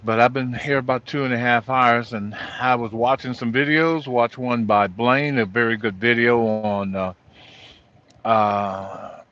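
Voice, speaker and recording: A man talking casually in a rambling vlog, halting and often trailing off mid-thought. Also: medium pitch, a bit bright. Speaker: trucker vlogger. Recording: dull lo-fi audio.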